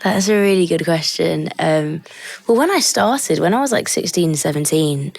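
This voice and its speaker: Young woman poet, soft-spoken and reflective, low register, dry recording. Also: expressive, creaky, dry recording. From Britain. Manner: reflective, measured, thinks aloud. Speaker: poet guest. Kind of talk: conversational interview.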